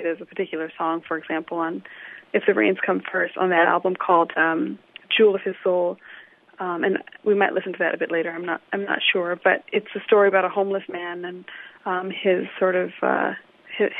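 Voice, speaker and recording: A young woman musician from Illinois answering interview questions over the phone, thoughtful and hesitant, with many pauses and ums. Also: on the low side, dry recording. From Illinois, United States. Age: young adult. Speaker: musician guest. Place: New York City. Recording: phone line.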